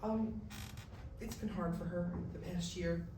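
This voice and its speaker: A man's voice: sits high, steady tone, a little slow. A young man acting an awkward, self-blaming college student in a staged reading, speaking casually and hesitantly. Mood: dejected. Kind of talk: staged musical reading, naturalistic dialogue. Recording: very echoey distant room, noisy.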